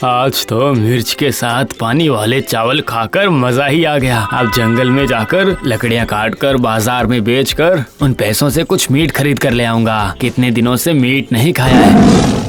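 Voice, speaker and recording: Excitable cartoon village woodcutter, slow-paced male actor. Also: medium pitch, lightly acted. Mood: contented. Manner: exclamatory, drawn-out, melodramatic. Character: simple village woodcutter, excitable and easily frightened. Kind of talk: animated comedy cartoon acting. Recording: loud music behind the voice.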